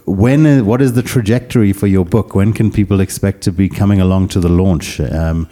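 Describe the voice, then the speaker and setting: Very deep dark voice guy hosting a podcast, kinda halting, lots of ums. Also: steady tone. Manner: halting, searching for words, many fillers. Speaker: podcast host. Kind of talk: podcast interview and outro.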